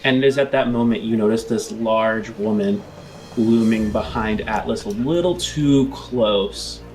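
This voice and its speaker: A man's voice: lowish voice, a little slow, darkish tone, a little hiss or hum. A man narrating a tabletop roleplaying game as game master, improvising scene descriptions with music underneath.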